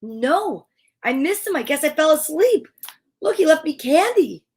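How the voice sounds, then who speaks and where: Lady playing excitable demanding little storybook girl, really high, swoopy theatrical delivery. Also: measured pace, heavily acted. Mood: excited. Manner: exclamatory, theatrical.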